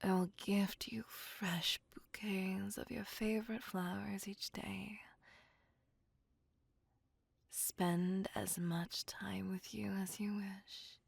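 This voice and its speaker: A woman voicing a refined, possessive vampire in an intimate ASMR roleplay, with a breathy whisper and formal, old-fashioned phrasing. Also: medium pitch, level tone, slow, hardly any pauses, no echo, heavily acted. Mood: devoted, coaxing. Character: refined, possessive, smitten female vampire courting a human.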